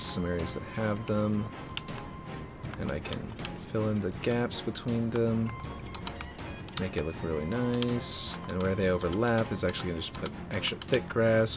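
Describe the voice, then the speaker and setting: Deep-voiced man rambling on stream. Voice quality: fairly deep voice. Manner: rambling, many fillers and restarts. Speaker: streamer building a game map. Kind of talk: casual live-stream chat while working. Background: music.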